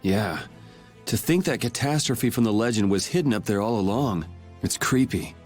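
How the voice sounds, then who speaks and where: A man's voice: lowish voice, expressive, normal speed, darkish tone, faint music behind, lightly acted. An actor playing a laid-back young swordsman in a video game, speaking in a casual, dry, teasing way. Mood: thoughtful. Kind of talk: video game cutscene acting.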